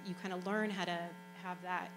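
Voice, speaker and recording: A woman's voice: on the low side, fairly even intonation, a little fast, noisy background. Chatty woman on a student panel. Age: young adult. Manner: conversational, many "you know" and "right". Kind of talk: panel talk.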